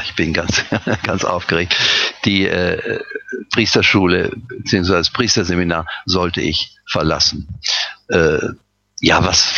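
A man's voice: deep voice, pushed and bright, slight background noise. An older man from Westphalia tells his own life story, hesitating often with fillers and restarts. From Westphalia, Germany. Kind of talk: personal recollection, unscripted. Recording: slightly dull lo-fi recording.

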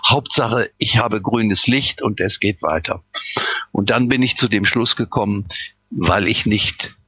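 An older man from Westphalia with a low, husky voice recalls his past, pausing often with fillers and starting sentences over. His delivery is forceful. The recording sounds slightly dull and lo-fi. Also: no room sound. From Westphalia, Germany. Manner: hesitant, with frequent "äh" fillers and restarts. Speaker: person telling his life story. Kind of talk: personal recollection, unscripted.

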